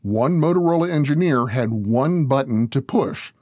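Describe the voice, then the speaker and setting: A man's voice: medium pitch, expressive, moderate pace, lo-fi sound. A man narrating a scripted business documentary, talking straight to the listener in a conversational way. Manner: conversational, addresses the listener directly. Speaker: show narrator.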